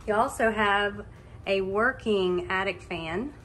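A woman's voice: medium pitch, slow pace, fairly bright tone. A woman working as a real estate agent, narrating an informal walk-through of a property. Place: walking through a house and its yard.

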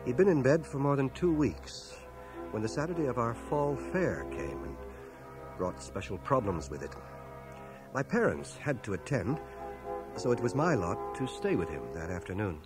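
An actor in a radio drama playing a reflective man narrating his small-town boyhood in a measured, expressive way. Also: medium pitch, close to an everyday voice. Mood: reflective, tense. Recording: home cassette transfer, music underneath.

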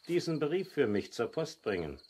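An actor playing a jovial, teasing, patronising uncle in a 1970s German children's radio play. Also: male voice, a little high, sing-song, moderate pace, darkish tone, breathy edge.

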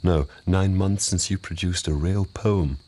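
Very deep-voiced, haughty court lord. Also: male voice, warm tone. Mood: dry, critical. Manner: dry, deliberate. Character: haughty, urbane lord of an old Japanese court. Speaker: actor in a radio drama. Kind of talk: BBC radio drama acting.

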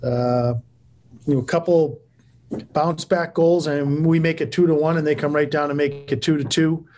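A man's voice: fairly high pitch, steady tone, moderate pace, darkish tone, a little hiss or hum. A man in his forties, a hockey coach answering postgame press questions over a laptop video call from his office, plain-spoken with frequent restarts and fillers. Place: office with cinder-block walls.

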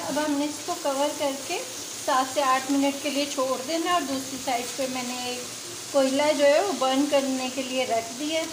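High-voiced woman giving cooking steps. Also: noisy background. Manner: chatty, explains each step, frequent "ji" fillers. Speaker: home cooking channel host. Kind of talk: casual cooking demonstration. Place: home kitchen at the stove. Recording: echoey kitchen with loud sizzling.

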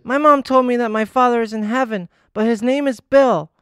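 A man voicing a shy, earnest little girl who speaks softly and nervously. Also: very high voice, fairly quick, clean tone, in a large hall, pitched above the performer's own voice. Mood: insistent. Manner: soft and nervous.

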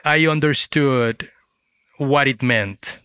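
A man's voice: mid-range voice, slow, bright, forceful tone, clear, resonant tone, no echo. A man from Mexico, a native Spanish speaker with a Mexican accent, telling his story conversationally as an interview guest. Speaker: guest author.

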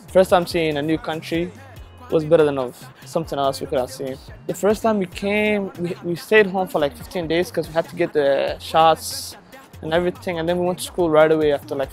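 Young guy from ethiopia, kinda high voice, relaxed chat outside, says like a lot, faint music under. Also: fairly quick. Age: young adult. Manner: relaxed, with fillers like "like". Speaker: high school graduate. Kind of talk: casual testimonial. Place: outdoors by a brick building.

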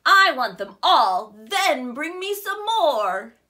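Storybook killer whale, greedy and demanding, loud, slow delivery female reader acting it out. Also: sits high, big pitch swings, pitched above the performer's own voice, exaggerated voice.

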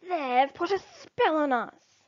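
A woman voicing an upset, demanding jungle animal in a storybook, loud and wailing. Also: high voice, slow pace, dry recording, lo-fi sound, pitched above the performer's own voice. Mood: indignant. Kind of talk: read-aloud children's storybook.

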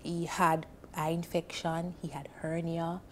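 A woman's voice: sits low, measured pace, slight background noise. A woman in her thirties with a Jamaican accent, a guest on a TV panel, telling a personal story earnestly and with feeling, on a lapel mic in a studio. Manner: earnest, emotional, animated with hand gestures. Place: indoor TV studio set on a sofa.

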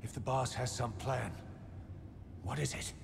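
An actor playing an embittered middle-aged soldier, betrayed and grimly vengeful, brooding in a gravelly voice in dramatic game cutscene dialogue. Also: male voice, medium pitch, a little slow. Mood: bitter, wounded. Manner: bitter, brooding. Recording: long reverb, clear background noise.

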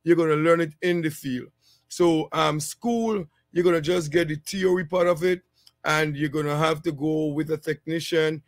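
A man's voice: on the high side, moderate pace, no room sound, clean studio sound. Man in his thirties giving headset-mic advice. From a small island. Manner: relaxed, rambling, many fillers. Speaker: channel host, HVAC technician. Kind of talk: casual advice vlog.

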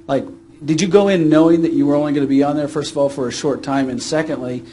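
A man's voice: on the high side, steady tone, fast, dark tone, a little hiss or hum. A man from the audience putting a spontaneous, roundabout question to a panelist. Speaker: audience member asking a question.

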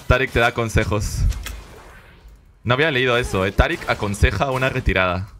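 A young man from Lima with a Peruvian accent, chatting and laughing while streaming a game, swearing and getting excited. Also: mid-range voice, normal speed, long pauses, a little hiss or hum. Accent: Peruvian Spanish from Lima. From Lima, Peru. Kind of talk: casual gameplay commentary. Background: game music and sounds.

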